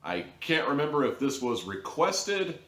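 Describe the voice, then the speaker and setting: A man reviewing an audio drama in a rambling, sarcastic monologue, his pitch jumping up now and then for emphasis. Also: very animated, a little slow, fairly bright tone, reverberant.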